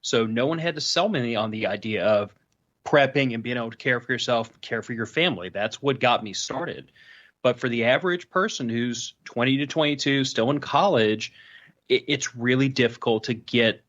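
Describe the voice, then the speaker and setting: A man's voice: medium pitch, moderate pace, fairly bright tone. Earnest man explaining, slightly dull sound. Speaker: panel participant. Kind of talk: casual explanatory discussion.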